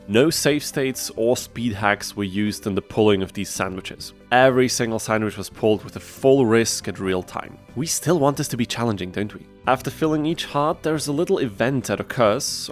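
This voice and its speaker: The man narrating a long video game challenge on a streaming site, wry asides dropped in over soft game music. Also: lowish voice. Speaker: gaming video host. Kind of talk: scripted challenge-run narration. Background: background music.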